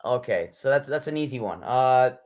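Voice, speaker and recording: A man's voice: medium pitch, animated, moderate pace, darkish tone. Rambling young man giving interview answers. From United States. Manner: rambling, self-conscious, hedging with fillers. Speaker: interview guest. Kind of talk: casual interview chat.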